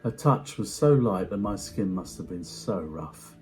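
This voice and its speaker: A man giving a dramatic first-person story reading in a hushed, measured way with deliberate pauses. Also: low voice, expressive, soft background music. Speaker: amateur actor performing a story reading.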